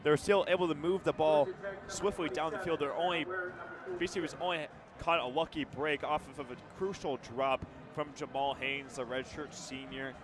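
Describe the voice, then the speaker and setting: A man with a somewhat high voice offers live football analysis as a color commentator. His delivery is conversational. A stadium crowd is clearly heard behind him. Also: fairly even intonation, moderate pace.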